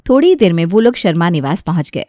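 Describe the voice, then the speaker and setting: A woman's voice: lowish voice, very animated, fast, dark tone, no room sound. Dry, close woman story narrator. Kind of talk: scripted children's story narration.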